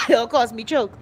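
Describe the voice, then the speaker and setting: A woman's voice: fairly high pitch, slight background noise. A middle-aged Bahamian woman with a Bahamian accent, hosting an online show, reading viewer comments and answering them bluntly with swearing and coughing fits. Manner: animated and blunt, swears freely, reads comments aloud. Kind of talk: casual live-stream commentary.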